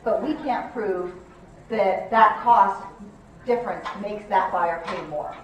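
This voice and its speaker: A woman's voice: mid-range voice, slow, slight background noise. A middle-aged woman answering questions in an explanatory, filler-heavy way as she presents to realtors. Her voice reaches the camera from across the room, dull and muffled in tone and washed in strong echo. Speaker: real estate appraiser speaker. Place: hotel conference room, indoors. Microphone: handheld mic, far camera. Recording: dull echoey room recording from far off.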